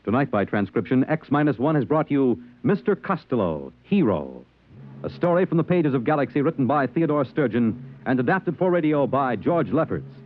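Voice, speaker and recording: A man's voice: medium pitch, sing-song, normal speed, narrow, tinny audio. An American man announcing in polished, formal 1950s network radio style, reading a script on a vintage broadcast recording. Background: music.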